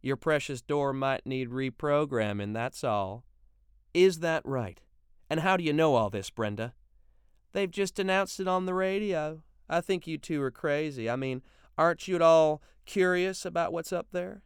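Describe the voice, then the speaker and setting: A man voicing a sharp-tongued, level-headed housewife in a read-aloud story, speaking higher, slow and pointed. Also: clear, resonant tone, no room sound, studio quality, natural voice. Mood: impassioned.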